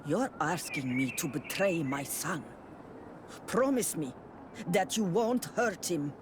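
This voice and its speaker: A woman's voice: lowish voice, big pitch swings, slow pace. Guarded village mom in a game, earnest and wary, noisy ambience behind her. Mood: guarded pleading. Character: guarded, protective native villager mother. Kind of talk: video game cutscene acting.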